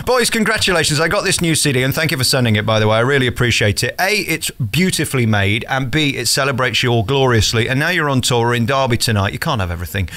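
A man's voice: medium pitch, animated, fast talker, few pauses, bright, forceful tone. A man hosting a jokey interview, cheeky and teasing, generous with compliments. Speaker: radio interviewer. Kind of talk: jokey celebrity interview chat.

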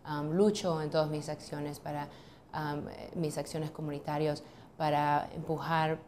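Woman lawyer, 30s, low flat voice, hesitant interview delivery, slight room hiss. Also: unhurried. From United States. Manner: hesitant, many ums and restarts. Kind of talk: filmed interview answers. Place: office, seated at a desk.

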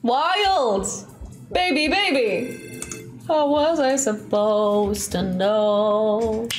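A woman's voice: on the high side, extremely slow. A young woman livestreaming a slot game, chatting casually and playfully coaxing the game along with short repeated pleas. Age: young adult. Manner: playful, repetitive pleading with the game. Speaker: slot streamer.

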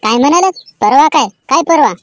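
Lady doing a naggy cartoon wife, sharp tongue, highish voice, comedy skit. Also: expressive, brisk. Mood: angry. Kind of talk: Marathi cartoon joke skit dialogue.

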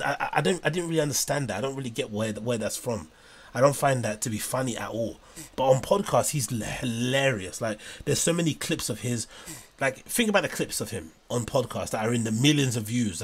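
A man's voice: mid-range voice, husky. A man commenting casually on a livestream, rambling and hesitant with many fillers. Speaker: streamer reacting to clips.

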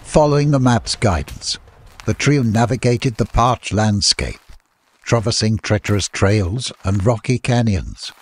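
Man, audiobook narration, slightly deep, husky, scripted storytelling. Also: expressive, a little slow.

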